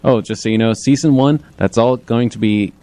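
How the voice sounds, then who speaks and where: A man chatting casually as a radio interview guest, rambling and halting as he promotes his own work. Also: medium pitch, dark tone. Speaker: interview guest, audio series creator.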